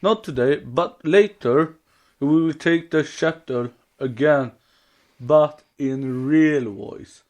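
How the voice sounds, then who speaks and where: Guy on a podcast, talks really slow, voice a bit high. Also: darkish tone. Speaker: podcast co-host. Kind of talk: casual chat.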